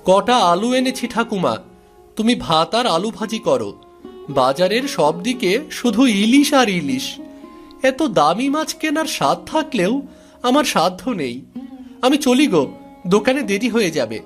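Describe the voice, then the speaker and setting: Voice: mid-range voice, very animated, unhurried, faint music behind. A man voicing a poor, earnest young working grandson in a cartoon story, speaking politely and pleadingly. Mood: subdued, dutiful.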